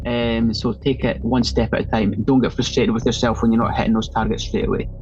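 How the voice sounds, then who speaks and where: A man's voice: medium pitch, level tone, quick pace, darkish tone, muffled recording, faint music behind. A man from northeast Scotland with a Scottish accent, explaining things conversationally as a podcast guest and fitness trainer.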